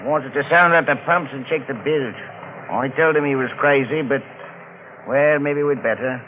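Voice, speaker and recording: A man playing a brisk, friendly ship's chief engineer in a 1940s radio drama, on an old recording. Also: medium pitch, natural voice. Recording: tinny, noisy old-time radio recording.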